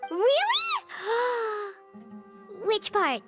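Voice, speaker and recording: A woman voicing a tiny, childlike, excitable fairy companion with a squeaky voice in an anime-style game. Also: drawn-out words, over loud music, exaggerated voice. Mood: eager, surprised.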